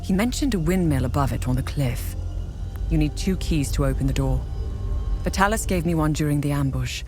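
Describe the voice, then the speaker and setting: An actress playing a weary, injured journalist in a video game, frank and earnest, wary but helpful. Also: female voice, deep voice, animated, brisk, darkish tone, soft background music. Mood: earnest, explanatory. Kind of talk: naturalistic video game dialogue acting.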